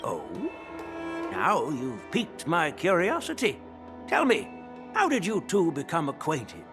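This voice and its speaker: A laid-back old general, kinda high voice that swings around a lot, chill and friendly, game cutscene. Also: male voice, unhurried, music in the background, heavily acted. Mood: genial. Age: older. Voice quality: relaxed, genial. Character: elderly retired male general, easygoing and jovial. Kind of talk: video game voice acting.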